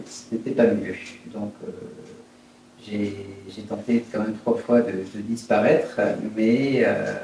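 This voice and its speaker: A man in his fifties speaks reflectively in a mellow, relaxed tone, often pausing to find his words as he is interviewed. The room is strongly reverberant, the microphone is distant, and a faint noise sits in the recording. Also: fairly low pitch. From Brittany, France. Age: 50s. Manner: hesitant, halting, reflective. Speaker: interviewed entrepreneur. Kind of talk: personal life-story interview.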